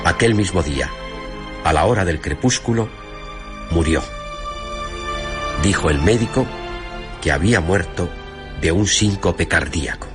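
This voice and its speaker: Music plays behind a man with a fairly low voice, narrating a literary tale for radio. His phrasing is formal and deliberate, with a wry storyteller's touch. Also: expressive.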